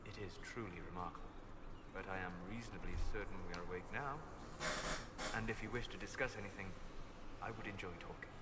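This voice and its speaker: A man playing a calm, scholarly elven mage in a video game, speaking in a grave, measured, formal way. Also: lowish voice, heavy background noise, lightly acted. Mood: calm, courteous. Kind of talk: video game dialogue acting.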